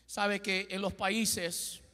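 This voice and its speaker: A man's voice: high-pitched, flat delivery, very slow, pushed and bright. A middle-aged man from Ecuador preaching a sermon on a large church stage into a handheld mic, calling for responses from the congregation. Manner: preaching with call-and-response, gesturing and pacing the stage. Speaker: pastor. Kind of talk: Spanish-language church sermon. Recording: long hall reverb.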